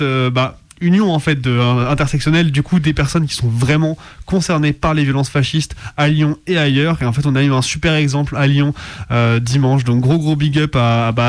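A man co-hosting a radio show in a casual, slangy and hesitant way. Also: mid-range voice. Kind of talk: casual unscripted radio presenting. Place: radio studio.

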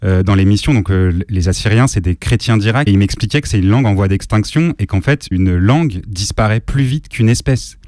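A male radio show host interviewing a guest in a relaxed, conversational manner. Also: on the low side, a little fast, hardly any pauses, no echo, clean studio sound.

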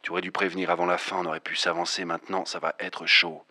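Man voicing a brisk low-voiced female accomplice. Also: flat delivery, creaky, bright, forceful tone, heavily acted. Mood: brisk, reproachful.

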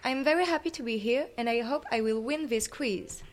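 High-voiced teen girl contestant. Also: fairly quick, a little hiss or hum. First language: French. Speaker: quiz contestant. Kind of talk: school radio role-play.

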